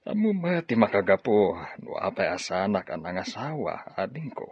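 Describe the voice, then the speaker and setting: A man playing a kindly older retired professor and bachelor uncle in a radio drama, with a gentle, expressive delivery. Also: lowish voice, normal speed, husky, no echo. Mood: reflective. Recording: dull lo-fi audio, crowd murmur.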